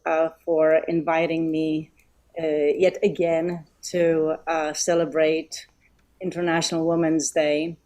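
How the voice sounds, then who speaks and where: Slow, low-voiced grateful woman speaker. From Poland. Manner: warm and grateful, many um and uh pauses. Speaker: event guest speaker. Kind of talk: heartfelt thank-you speech.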